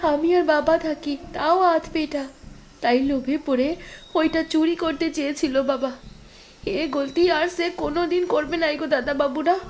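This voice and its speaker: Woman acting a very high-pitched tearful young woman. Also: steady tone, unhurried, darkish tone, slight background noise. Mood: tearful pleading. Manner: pleading, deferential, rustic speech. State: crying. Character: pleading, tearful young village woman begging for her father. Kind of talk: audio drama acting.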